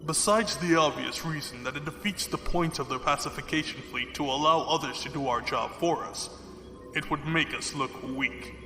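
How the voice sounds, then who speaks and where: A man's voice: a little high, normal speed, bright, forceful tone. A man playing a courtly, condescending, ruthless fleet commodore villain in an audio drama, speaking formally. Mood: haughty. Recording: echoey hall reverb, music behind.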